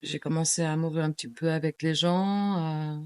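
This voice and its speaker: A woman's voice: low-pitched, steady tone, normal speed, dark tone, clear, resonant tone. A middle-aged woman from Portugal, chatting in an interview, hesitant, with many fillers and restarts. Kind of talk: casual interview chat.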